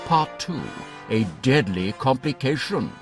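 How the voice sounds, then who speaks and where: A man's voice: medium pitch, expressive, unhurried, natural voice. A man acting as a series announcer, delivering dramatic title narration. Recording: loud music behind. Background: music.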